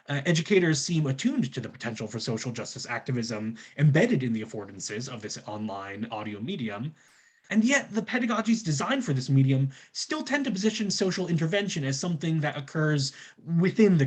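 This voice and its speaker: A man's voice: fairly high pitch, very animated, fairly quick, breathy edge. A man reading a scripted academic presentation in formal scholarly wording, often putting an "uh" before phrases.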